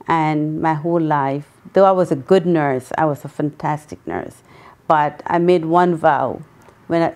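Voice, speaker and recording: A woman in her sixties from Guyana, answering interview questions earnestly, pausing often with ums. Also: low-pitched, a little mellow. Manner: earnest, with many pauses and ums. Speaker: guest, memoir author.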